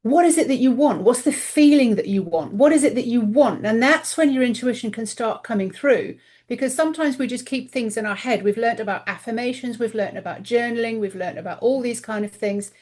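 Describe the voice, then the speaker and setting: A woman's voice: a little high, a little fast, darkish tone. A middle-aged woman, a guest author in a casual podcast interview, talking earnestly and voicing her own inner thoughts aloud.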